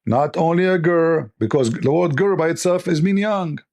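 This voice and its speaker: Hoarse man, scornful lecturing commentary. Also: a little high, normal speed, darkish tone. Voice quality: non-native English grammar. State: losing his voice. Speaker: religious debate host. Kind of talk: polemical commentary reading texts.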